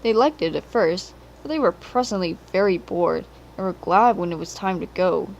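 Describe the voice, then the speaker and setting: A woman's voice: fairly low pitch, normal speed, gravelly, a little mellow, muffled recording, slight background noise. A woman reading a children's story as a scripted narration, on an amateur home recording.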